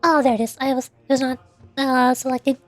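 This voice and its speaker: High-voiced woman, playful streamer chat. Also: fairly even intonation, brisk, no room sound, faint music behind. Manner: playful, rambling. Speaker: VTuber livestreamer. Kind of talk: casual livestream gaming chat.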